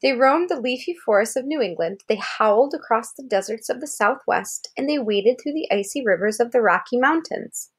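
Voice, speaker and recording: Higher-pitched American woman reading to a child. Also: breathy edge. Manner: expressive storytelling, pausing to check understanding with questions. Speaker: parent reading aloud to a child. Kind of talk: read-aloud of a children's article with explanatory asides.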